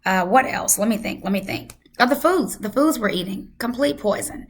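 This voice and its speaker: A woman hosting a health channel, hoarse from losing her voice, talking casually and emphatically to her viewers. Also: fairly high pitch, expressive, moderate pace. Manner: emphatic, repeats for effect. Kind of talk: casual talk to camera.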